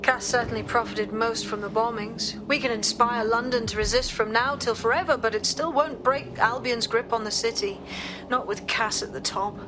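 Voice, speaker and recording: A woman's voice: fairly high pitch, steady tone, normal speed, background noise, close to an everyday voice. A woman playing a serious, determined resistance leader in a video game cutscene, earnest and businesslike.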